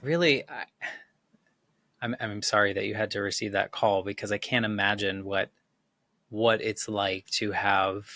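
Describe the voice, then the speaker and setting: A man hosting a podcast, asking gentle, hesitant, empathetic questions. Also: lowish voice, no echo. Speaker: podcast host. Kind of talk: interview conversation.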